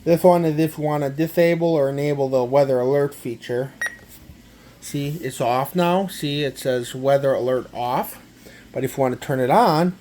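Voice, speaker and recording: A man gives a casual, halting, step-by-step product walkthrough, often repeating himself. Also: fairly high pitch, a little mellow, a little hiss or hum. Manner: halting, repetitive, step-by-step explaining. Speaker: amateur gadget demonstrator.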